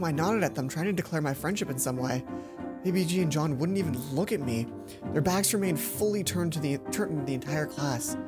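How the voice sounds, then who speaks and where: A young man hosts a let's play, reading story text and adding his own comments. He is expressive and playful. Music plays clearly behind his voice. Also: a little high. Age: young adult. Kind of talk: reading visual novel aloud with commentary.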